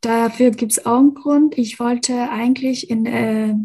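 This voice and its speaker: A woman's voice: on the high side, steady tone, measured pace, dark tone. A young woman from Albania in her twenties, answering interview questions casually with many fillers and restarts. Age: 20s. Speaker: guest, computer science student.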